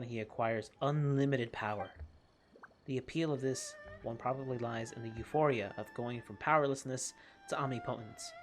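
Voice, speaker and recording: A game streamer doing casual, expressive commentary and reading on-screen text aloud in an informal way. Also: mid-range voice. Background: game music.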